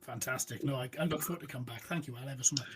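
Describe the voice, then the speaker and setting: A man speaks as a guest in a casual interview. His voice is at a middle pitch for a man. He sounds polite and soft-spoken, thanking the host often. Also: racing, husky. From Wales. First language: Welsh. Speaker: metal musician guest. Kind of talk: interview answers.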